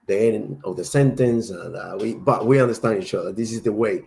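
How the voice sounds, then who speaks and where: A man's voice: on the low side, fairly quick, gravelly, darkish tone. A man from Cuba, a native Spanish speaker with a strong Cuban accent, chatting casually as a panel speaker.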